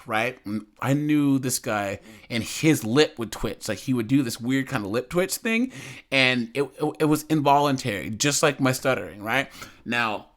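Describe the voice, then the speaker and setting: A man giving informal reaction commentary as a host, repeating phrases and occasionally stuttering when excited. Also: medium pitch.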